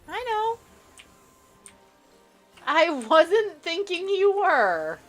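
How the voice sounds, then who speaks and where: A woman's voice: very high voice, big pitch swings, drawn-out words, soft background music. A woman streaming a co-op game live, bantering with her co-player in a dry, sarcastic way. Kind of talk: casual gameplay chat with a co-player.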